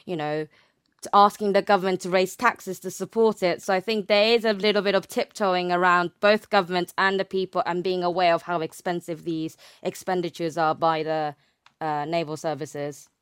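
A woman's voice: on the low side, steady tone, moderate pace, clean tone, no room sound, studio quality. A young woman speaking as a guest expert, explaining conversationally and often searching for the right words. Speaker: guest expert, PhD researcher in war studies.

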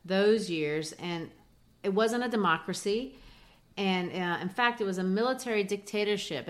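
A woman in her forties who grew up in Brazil, co-hosting a casual book talk and explaining things thoughtfully with small fillers. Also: mid-range voice. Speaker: podcast co-host.

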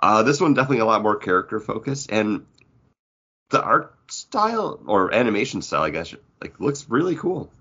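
A man's voice: lowish voice, sing-song, brisk, a bit bright, slightly rough, muffled recording. A man co-hosting a podcast, chatting casually about films with other people. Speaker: podcast co-host.

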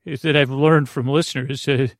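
A man with a creaky voice hosting a bedtime podcast, meandering hesitantly, mumbling and trailing off in a goofy way. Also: medium pitch, steady tone, normal speed, no room sound, studio quality. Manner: silly, goofy. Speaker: podcast host. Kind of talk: rambling bedtime podcast monologue.

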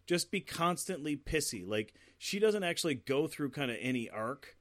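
A man's voice: fairly high pitch, sing-song, normal speed, fairly bright tone, no room sound. Man, podcast co-host, casual movie talk, blunt, lots of swearing, dry close sound. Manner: blunt, swears a lot, laughs often. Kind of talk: casual profane chat.

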